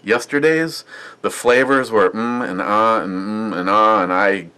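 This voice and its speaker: A man's voice: lowish voice, unhurried, bright, forceful tone, clear, resonant tone. A man casually reviewing a beer on his vlog, rambling and chatty with lots of fillers and restarts.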